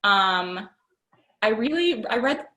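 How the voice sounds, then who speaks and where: A woman's voice: a little high, lively intonation, takes it slowly, bright, forceful tone, reverberant. A young woman, a magazine writer, answering questions in a chatty, informal, self-deprecating way. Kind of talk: casual question and answer chat.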